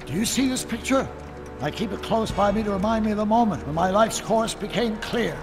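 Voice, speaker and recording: An actor playing an elderly, stern, autocratic scientist in an animated video game, with pompous, formal and theatrical diction. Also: male voice, sits high, fairly quick, long reverb tail. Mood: pompous, proud. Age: older. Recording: music behind the voice.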